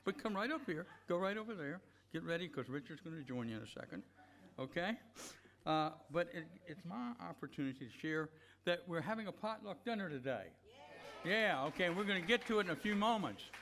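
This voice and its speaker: A man's voice: fairly high pitch, lively intonation, a little fast, a bit bright. A man leading a church service, giving blessings and announcements in an earnest, unscripted, encouraging way.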